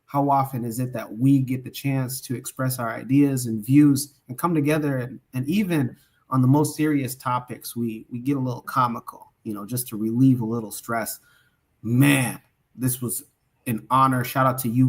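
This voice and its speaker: A man with a medium-pitched voice wraps up a livestream podcast. He sounds upbeat and proud, and often stalls on fillers and restarts as he gives shout-outs. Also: fairly even intonation, normal speed. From Omaha, Nebraska. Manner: enthusiastic, hesitant with many fillers. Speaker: podcast co-host. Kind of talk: closing remarks and shout-outs.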